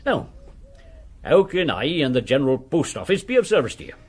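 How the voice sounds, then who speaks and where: Casting: inquisitive postmaster, ex-corporal, husky, very animated, deferential to gentlemen, period radio play. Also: male voice, a little high, brisk. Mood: obliging, puzzled. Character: chatty, deferential village postmaster, a one-legged former army corporal. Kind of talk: period radio drama acting.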